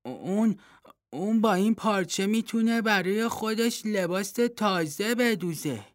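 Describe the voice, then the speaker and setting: A man voicing a naive, simple-minded young fool in a read-aloud folktale, talking in a childlike, pleading, excitable way. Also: high voice, dry recording, clean studio sound. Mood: coaxing. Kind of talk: storybook reading.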